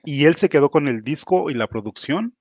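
A man's voice: a little high, lively intonation, moderate pace, darkish tone. A man hosting a video call interview, encouraging with short replies. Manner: supportive, short backchannels, laughs along. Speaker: interviewer, host. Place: home room. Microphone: headset on video call. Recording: slightly dull video call audio.

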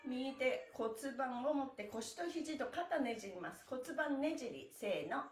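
Middle-aged female fitness instructor: fairly high, very animated, sing-song and encouraging, recorded in a reverberant room. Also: measured pace. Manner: cheerful, encouraging, sing-song calls while demonstrating stretches. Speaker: stretching instructor. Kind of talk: live exercise lesson with chanted counting. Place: small bare indoor room. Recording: echoey room, mic at a distance.

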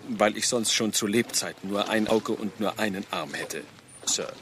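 An actor playing a meek, seasick police sergeant, deferential and dry, in a German radio drama. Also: male voice, on the low side, normal speed, natural voice. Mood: drily rueful. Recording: clear background noise, boat on water.

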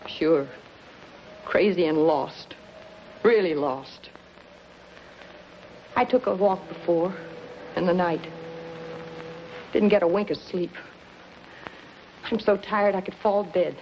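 A woman's voice: fairly low pitch, takes it slowly, pauses often. An actress in her thirties playing a bitter, scheming, world-weary gangster's woman, in clipped, dramatic 1950s noir style. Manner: hard-boiled, clipped, dramatic. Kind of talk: 1950s noir film acting. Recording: dull, noisy 1950s film soundtrack.

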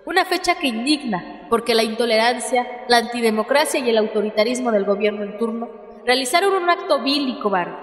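A woman's voice: on the high side, animated, a little fast, close to an everyday voice. A woman acting an impassioned activist leader giving a solemn, formal speech in a radio drama, with a Mexican accent. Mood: solemn, indignant. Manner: formal, declamatory. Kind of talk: radio drama political oratory. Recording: echoey hall reverb.

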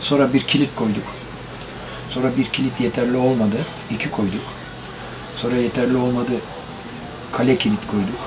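A man's voice: medium pitch, fairly even intonation, normal speed, long pauses, noisy background. Older man musing on bygone days. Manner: thoughtful, philosophizing about old times. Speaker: gramophone repairer. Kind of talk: reflective interview talk. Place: small cluttered workshop indoors.

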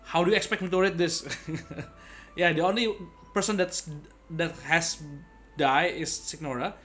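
A man's voice: on the high side, a little slow, bright, forceful tone. Casual young man streaming, music behind. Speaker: game streamer. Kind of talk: casual reaction commentary on a game. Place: indoor room at desk, webcam stream. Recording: game music faintly behind.

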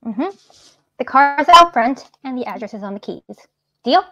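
An exasperated, defensive grown daughter. She speaks sharply in a fairly high voice, and the video call sound is a bit muffled. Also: animated, moderate pace, bright, forceful tone. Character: frustrated adult daughter, defensive.

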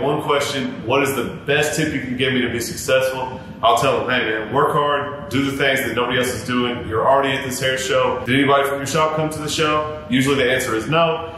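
A man in his thirties, a barber giving earnest advice straight to camera in a casual, repetitive way, addressing viewers as guys. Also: fairly bright tone. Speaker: barber and YouTuber. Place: home barber studio, indoors. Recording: very echoey room with faint rumble.

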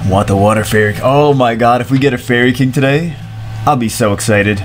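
A man's voice: mid-range voice, brisk, soft background music. Congested man rambling over game pulls. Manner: excitable, rambling, repeats himself. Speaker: gaming streamer. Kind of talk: casual gaming commentary. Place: small home room, indoors. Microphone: headset.

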